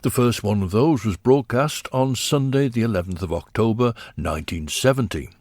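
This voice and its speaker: An older man narrating a documentary, looking back on past events in a measured, reflective way. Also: medium pitch, darkish tone, no echo.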